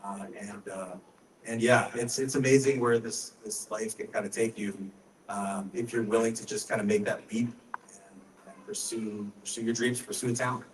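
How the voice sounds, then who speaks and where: A man's voice: lowish voice, fairly even intonation, normal speed, slight background noise. A man, a voice actor on a convention panel, talking reflectively with hesitations. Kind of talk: casual panel talk. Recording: echoey room mic from far off.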